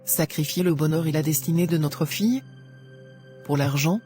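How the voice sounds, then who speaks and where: A woman acts out a devout middle-aged wife in an animated drama. She speaks with wounded indignation and open reproach. Soft music sits under her voice. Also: sits low, moderate pace, natural voice. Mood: shocked, reproachful. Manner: emotional, reproachful.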